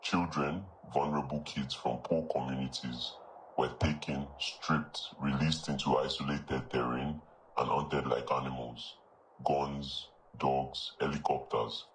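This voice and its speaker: A man with a remarkably deep, low voice delivers a solemn statement. He speaks in short, weighty clauses with measured pauses. A faint hiss of noise sits underneath. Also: steady tone, poor audio. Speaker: clip of a man claiming to be an investigator.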